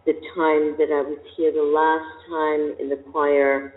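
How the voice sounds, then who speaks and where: Woman vocal coach, slow and emphatic, tinny sound in a big hall. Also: low-pitched, level tone. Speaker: voice teacher. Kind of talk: coaching conversation.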